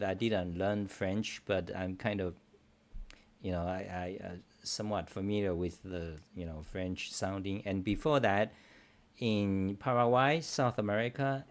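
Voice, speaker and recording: Blunt middle-aged man, low voice. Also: measured pace. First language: Chinese. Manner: blunt, swears freely, loose broken phrasing. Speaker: livestream host answering viewer questions. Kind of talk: casual rambling chat.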